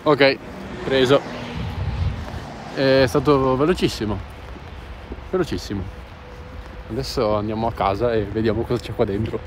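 A man's voice: medium pitch, moderate pace, long pauses, background noise. A young man in his twenties talking casually into a phone held at arm's length outdoors by a street.

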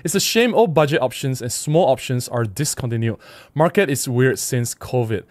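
A man's voice: medium pitch, animated, moderate pace, no room sound. A middle-aged man with a Malaysian accent chatting casually on a livestream, reading out comments and replying in an emphatic, conversational way. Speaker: livestream host. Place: small indoor room at a desk. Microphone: close desk microphone with windscreen.

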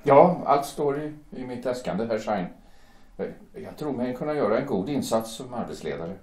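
An actor playing an earnest young shipyard worker who speaks politely and deferentially, stumbling over words. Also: male voice, medium pitch, lively intonation, darkish tone, lightly acted. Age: young adult. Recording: roomy echo.

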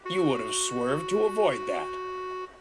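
A man's voice: mid-range voice, very animated, slow pace, loud music behind the voice, voice pushed up. A man voicing a grumpy, scornful male steamroller with a growling, sniffy manner in a children's story. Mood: sneering.

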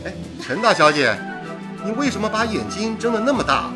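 A man playing an animated, bantering wartime radio engineer in a radio drama. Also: high-pitched, fairly quick, music in the background. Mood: teasing. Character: teasing, lively male wartime radio engineer.

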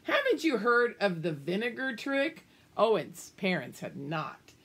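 An older woman reads a children's picture book aloud at an unhurried pace. Her voice is slightly husky and swings widely up and down, with big emphasis on key words and little asides to the children. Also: medium pitch, big pitch swings, fairly bright tone. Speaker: children's storybook reader. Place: small room at home, indoors.

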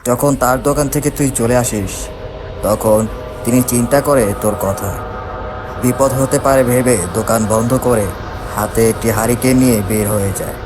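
A man's voice: mid-range voice, moderate pace, background noise, natural voice. A man voicing a concerned male village friend in a horror cartoon, speaking in a familiar, explanatory manner. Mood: explaining. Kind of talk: Bengali horror cartoon voice acting.

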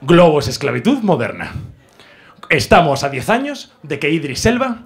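A man in his thirties with an accent from Spain, performing stand-up comedy in an animated, theatrical way into a handheld microphone. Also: a little high, normal speed. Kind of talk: stand-up comedy with comic singing. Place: small comedy club stage.

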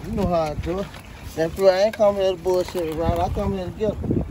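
A man's voice: sits high, fairly even intonation, fast. A middle-aged man, bantering with playful, boastful swagger while fishing outdoors by the sea. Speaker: angler, the uncle of the group. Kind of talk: casual banter. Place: outdoors on a rocky sea jetty. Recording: very loud wind and water noise.

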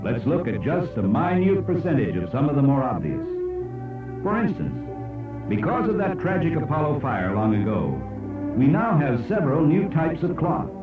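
A man reading scripted educational narration in an emphatic, oratorical way with dramatic pauses. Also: on the high side, sing-song, far from the mic. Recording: echoey, dull old cassette played aloud, music. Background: music.

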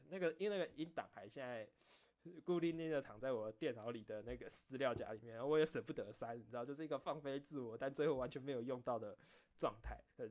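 Man chatting casually with lively, swinging intonation. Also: mid-range voice. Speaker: streamer and video creator. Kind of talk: casual solo chat, recounting behind-the-scenes stories.

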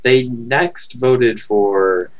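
A man co-hosting a casual podcast on a close headset mic, rambling and thinking out loud. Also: mid-range voice, extremely slow, a crowd in the background. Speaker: podcast co-host.

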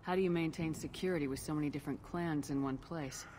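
A woman's voice: deep voice, fast, noisy background, lightly acted. Woman as dry, confident space commander in reverb. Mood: composed, wry. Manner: direct, dry. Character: confident, wry human military commander woman. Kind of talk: video game dialogue.

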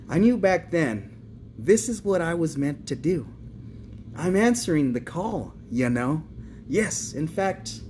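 A man's voice: on the high side, a little hiss or hum. A man acting a pompous, menacing nun of fifty-eight in a theatrical monologue, sugary, preachy and folksy. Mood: pious, self-satisfied. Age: 58 as played. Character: pompous, menacing 58-year-old Mexican nun in charge.

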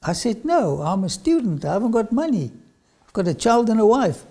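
An older man with an Afrikaans accent, a lecturer telling his own story to camera in a studio, swinging from loud phrases to whispers. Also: high voice, sing-song, normal speed. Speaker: archaeology lecturer. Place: studio with dark backdrop.

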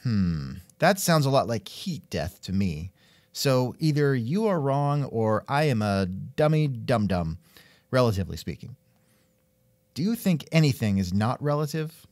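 A man's voice: medium pitch, very animated, a little slow, a little mellow, no room sound, studio quality. A man hosting an interview in a casual, musing way, with wry asides and thoughtful hmms. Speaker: podcast host and interviewer. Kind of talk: casual scripted interview chat.

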